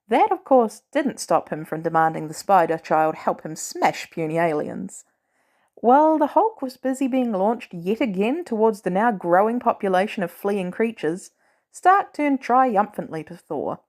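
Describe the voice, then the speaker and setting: A woman narrating fan fiction in an animated storytelling style. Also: mid-range voice, a little slow. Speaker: podfic reader.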